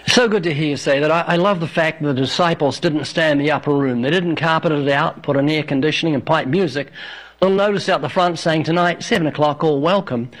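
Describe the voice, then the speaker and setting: A man's voice: a little high, quick pace, rough recording. An older man from New Zealand with a slight New Zealand accent, a guest on a radio show, telling stories with dry, self-deprecating humor. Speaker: evangelist and author, radio guest. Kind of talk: interview guest.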